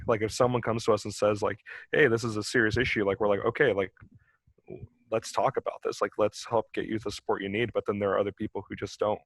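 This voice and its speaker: A man's voice: on the low side, dry recording, poor audio. A young man on a discussion panel, talking casually and filling his sentences with "like.". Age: young adult. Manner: casual, full of "like" fillers.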